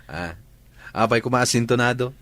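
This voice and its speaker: A man's voice: mid-range voice, moderate pace, a bit bright, dry recording, natural voice. A man playing an easygoing, affectionate boyfriend in an Ilocano audio drama, with an Ilocano accent. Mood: bantering.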